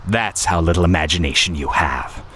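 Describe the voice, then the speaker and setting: A scheming male game villain, with a low voice over clearly noisy ambience. He speechifies with theatrical condescension, taunting and hungry for war and chaos. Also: moderate pace, a bit bright. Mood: smug explaining. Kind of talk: dramatic video game voice acting.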